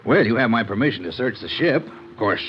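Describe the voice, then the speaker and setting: Obliging ship's captain played by a man, lively intonation. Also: mid-range voice, fast, slight background noise, natural voice. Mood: puzzled. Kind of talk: old-time radio drama acting. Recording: muffled vintage radio recording.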